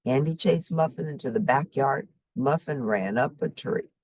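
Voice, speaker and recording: A woman reading a children's story expressively, with simple, deliberate phrasing for young listeners. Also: rumbling low, a little mellow, dry recording. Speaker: storybook reader.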